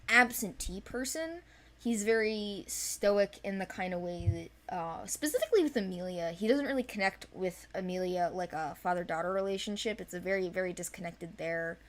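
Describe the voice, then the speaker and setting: A young woman chatting informally and unscripted as she presents characters from an animated series, with a playful, self-deprecating manner. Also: medium pitch, normal speed. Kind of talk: casual unscripted character overview.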